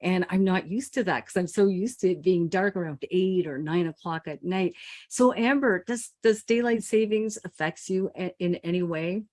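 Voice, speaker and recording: A woman's voice: lowish voice, normal speed, a little mellow. A woman hosting a podcast in casual chat, talking loosely with many fillers. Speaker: podcast host.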